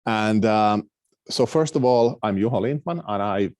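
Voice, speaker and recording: A middle-aged Finnish-accented man gives an academic lecture over a video call. His voice sits low, and the sound is close and dry. He speaks hesitantly, often restarting his phrases. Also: a little slow, darkish tone, no room sound. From Finland. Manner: hesitant, many fillers and restarts. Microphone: video call microphone.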